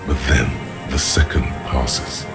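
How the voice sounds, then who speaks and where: Very deep, grave game narrator. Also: male voice, steady tone, exaggerated voice. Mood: somber. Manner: measured, deliberate, literary. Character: grave inner-voice narrator, cerebral, observant, coolly advising. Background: music.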